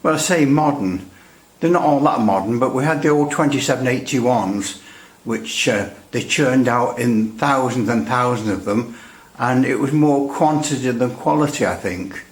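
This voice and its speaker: A man's voice: mid-range voice, normal speed. Man in an echoey room, chatting hesitantly. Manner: chatty, rambling, hesitant delivery with dry humour. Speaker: radio hobbyist presenting a repair demonstration. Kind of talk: casual, unscripted technical demonstration. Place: indoors at a workbench.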